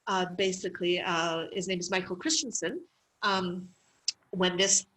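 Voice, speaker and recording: A middle-aged woman from Canada, a medical clown being interviewed, telling stories in a lively way with laughs and fillers. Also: mid-range voice, rough recording. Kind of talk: interview conversation.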